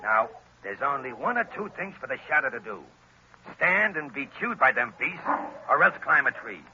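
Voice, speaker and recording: A man's voice: medium pitch, big pitch swings, moderate pace, sharp tone. An actor playing a cold, commanding male gang boss in a 1940s radio drama. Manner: gruff, commanding. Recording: dull lo-fi old radio recording with hiss.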